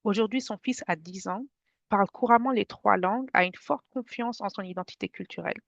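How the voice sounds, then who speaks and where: A woman's voice: medium pitch, a little fast, creaky, no echo. Woman leading a parents' webinar. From France. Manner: friendly, conversational, addresses the audience directly. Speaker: workshop presenter. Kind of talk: informal webinar presentation to parents. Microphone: video call. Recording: dull, dry video-call audio.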